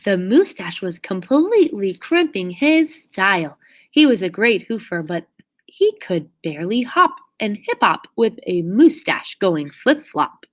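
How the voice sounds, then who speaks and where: A woman reading a children's story aloud expressively, with theatrical, drawn-out emphasis on key words. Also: mid-range voice, very animated. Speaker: storybook reader.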